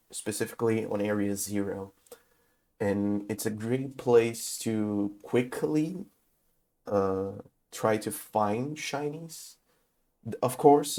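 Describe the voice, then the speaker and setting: Man with a cold, low and mellow. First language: not English. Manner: halting, many filler uhs. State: has a cold. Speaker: gaming video host. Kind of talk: casual game tutorial.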